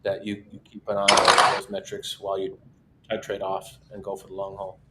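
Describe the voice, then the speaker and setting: A man hosting a casual webinar chat, talking in a relaxed, steady way with frequent restarts. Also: on the low side, a little fast, low-quality recording. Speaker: host.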